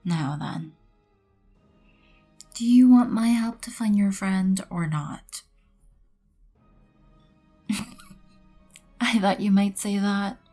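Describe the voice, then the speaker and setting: Vampire queen, female actor, ASMR roleplay: slow, mellow, breathy near-whisper, haughty, poised and composed. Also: medium pitch, faint music behind. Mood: wry, imperious. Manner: poised, haughty, measured, soft-spoken. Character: regal, composed female vampire queen, proud and wryly merciful.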